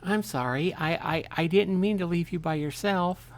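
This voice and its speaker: A man voicing a sharp-tongued, dismissive young woman who coos to her dog, from a visual novel game. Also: high-pitched, normal speed, voice pushed up, natural voice. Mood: tender, soothing.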